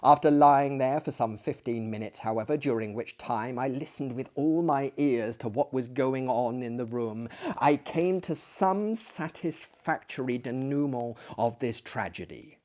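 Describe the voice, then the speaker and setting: A man's voice: medium pitch, unhurried, few pauses, darkish tone. A man telling a classic story as a dramatic reader, with hammy, pompous delivery and heavy emphasis. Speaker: storyteller, host of a story reading series.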